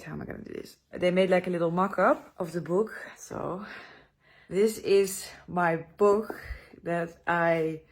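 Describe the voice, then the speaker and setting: Breathless woman vlogger. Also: on the low side. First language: Dutch. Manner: tired, hesitant, frequent restarts. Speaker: travel vlogger. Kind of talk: casual talk to camera.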